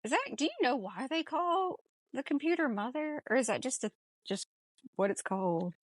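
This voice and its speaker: A woman's voice: sits high, lively intonation, normal speed, no room sound. A woman co-hosting a casual movie discussion podcast, speaking in an emphatic, informal, playful way. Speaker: podcast co-host.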